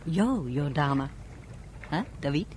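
Sweet attentive listener in a radio play, clear noise behind her. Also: sits low, lively intonation, slow pace, darkish tone. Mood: tender, curious. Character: attentive, affectionate woman listening to a man's story. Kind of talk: Dutch radio play acting. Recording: noisy background.

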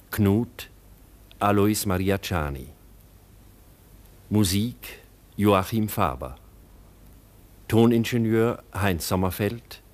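A man announcing radio play credits in a formal, measured and precise broadcast style. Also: lowish voice.

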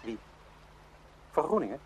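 Self-important young man, middle-pitched voice, grave, emphatic, confiding, radio play, slight noise. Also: big pitch swings, measured pace, a little mellow. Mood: solemn, important. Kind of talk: Dutch radio play acting. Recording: noisy old radio drama recording.

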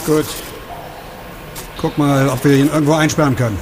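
An actor playing a commanding, sarcastic police investigator in a radio crime drama. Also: male voice, fairly high pitch, steady tone, moderate pace, noisy background, lightly acted. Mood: curt, commanding. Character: hard, sarcastic male police investigator, hot-tempered. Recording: studio radio play with music underneath.

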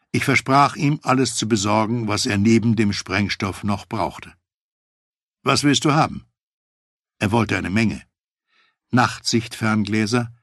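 A man reading a first-person memoir as an audiobook, in a steady storytelling manner that lifts for quoted dialogue. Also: lowish voice, creaky, slightly rough, no echo, clean studio sound. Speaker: audiobook narrator.

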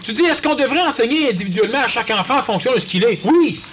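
A man with a Quebec French accent giving a lively, conversational talk to an audience and acting out quoted voices. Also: very high-pitched, fast, a little hiss or hum. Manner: animated, acts out quoted voices. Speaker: lecturer.

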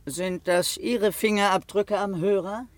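A man's voice: high-pitched, steady tone, unhurried, dry recording. A man playing an odd, self-assured hotel detective in a satirical radio drama, matter-of-fact about absurd claims. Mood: probing.

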